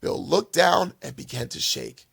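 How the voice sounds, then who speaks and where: Animated, husky-voiced male storyteller. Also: mid-range voice, a little slow. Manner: dramatic, expressive. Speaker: children's storyteller and host.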